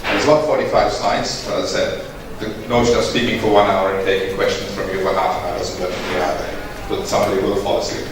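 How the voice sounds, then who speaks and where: A man's voice: deep voice, level tone, quick pace, few pauses. A man, a family doctor and guest speaker, giving a conversational talk to a room of listeners while getting over a cold. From northern England. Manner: conversational, interactive, asks for a show of hands. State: recovering from a cold. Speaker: GP and medical director, guest speaker. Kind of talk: conference presentation to an audience. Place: large dim hotel function room. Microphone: handheld mic, filmed from a distant camera. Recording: echoey room from far off, noisy.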